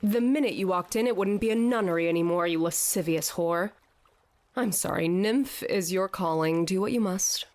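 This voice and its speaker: A woman's voice: fairly low pitch, normal speed, darkish tone, natural voice. An actress playing a dazed, theatrical woman who declaims in a grandiose mock-Shakespearean way in an audio drama. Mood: haughty. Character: dazed theatrical woman raving as Hamlet to a fish head. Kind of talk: scripted audio drama acting.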